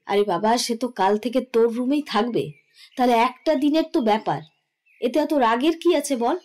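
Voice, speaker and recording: A woman's voice: a little high, normal speed, a little mellow, natural voice. A woman playing an affectionate, teasing middle-aged mother in an audio story, fondly scolding in a theatrical manner. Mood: playfully teasing. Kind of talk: Bengali audio story acting.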